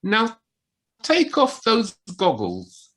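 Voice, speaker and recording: A woman voicing a gruff, bossy older badger who scolds with authority in an audio play. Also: fairly high pitch, wildly swooping pitch, drawn-out words, a bit bright, heavily acted. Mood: scolding. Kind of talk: community audio play acting. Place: living room, recorded over video call.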